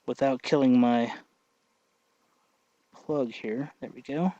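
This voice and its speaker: A man hosting a casual hands-on tutorial, thinking aloud in a halting way as he works. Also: medium pitch, warm tone, dull audio, slight background noise. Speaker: tutorial host, hobby electronics maker.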